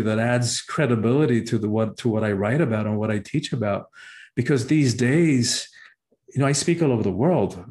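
A man's voice: medium pitch, darkish tone. Reflective middle-aged man telling his story. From Cuba. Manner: thoughtful, with frequent "um" and restarts. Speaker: guest, spiritual teacher.

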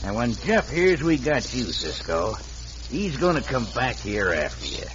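An actor playing a scheming, bossy western outlaw leader in a 1940s radio drama, with a hard-bitten cowboy drawl. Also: male voice, mid-range voice, very animated, unhurried. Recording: dull hissy old radio recording.

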